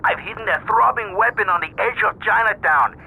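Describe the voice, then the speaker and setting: Flamboyant bright-voiced Spanish-accented gangster. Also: male voice, high-pitched, brisk, vocal fry, breathy edge, heavily acted, poor audio. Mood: indignant, grandiose. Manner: florid, boastful. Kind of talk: cartoonish video game cutscene acting.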